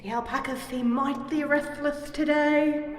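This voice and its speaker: A woman's voice: sits high, steady tone, moderate pace, lightly acted. A woman playing a dry, ominous household servant in a comic gothic stage drama. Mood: dryly ominous. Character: ominous household servant Hidlings, dryly warning. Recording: distant, echoey hall.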